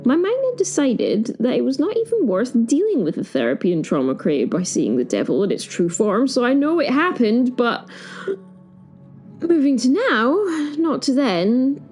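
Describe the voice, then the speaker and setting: A woman performs a flustered, dry-witted female caretaker of Hell telling her own story in an audio drama. Her delivery is understated and wry, wandering into asides, with expressive pitch movement. Faint music plays behind her. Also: moderate pace, slightly rough. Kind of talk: first-person audio drama narration.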